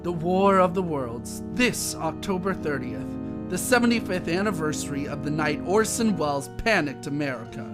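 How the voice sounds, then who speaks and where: A man's voice: fairly high pitch, animated, moderate pace. A man reading a dramatic scripted radio promo as an announcer. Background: loud plucked guitar music.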